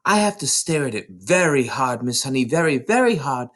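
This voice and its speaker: A man voicing an excited, eager, precocious small schoolgirl in a storybook reading, gushing with breathless run-on eagerness. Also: mid-range voice, normal speed, pitched above the performer's own voice. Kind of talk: children's storybook read aloud.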